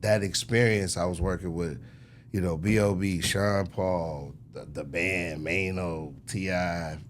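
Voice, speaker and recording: Low-voiced American man, slangy storyteller. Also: steady tone, a little slow, slight background noise. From United States, Chicago. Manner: conversational, slangy, frequent throat clearing. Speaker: interview guest, record executive. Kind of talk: casual interview storytelling.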